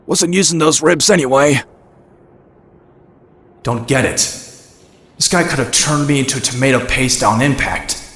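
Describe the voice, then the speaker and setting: A man's voice: mid-range voice, unhurried, pauses often, fairly bright tone, natural voice. Man playing a sardonic young vigilante, roomy sound. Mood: wry. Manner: sardonic, dry, self-narrating. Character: wisecracking young male vigilante antihero, cynical and brash. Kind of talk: animated comic narration and dialogue.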